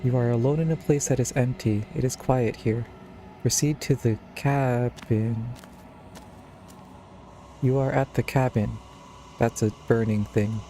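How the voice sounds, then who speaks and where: A man's voice: medium pitch, fairly even intonation, unhurried, pauses often, warm tone, a little hiss or hum. A man giving casual, wry playthrough commentary as a game streamer, with understated reactions.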